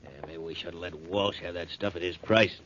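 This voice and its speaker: A man's voice: sits low, steady tone, normal speed, natural voice. An actor playing a compliant henchman to a crook in 1940s radio crime drama style. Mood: uneasy. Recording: muffled, very noisy 1940s radio recording.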